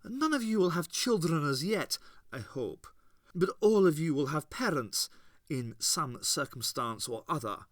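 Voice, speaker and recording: A man reading aloud and voicing a hectoring, absent-minded male teacher, a bit higher than his narration. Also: lively intonation, a little slow, fairly bright tone, dry recording, studio quality. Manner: lecturing, hectoring.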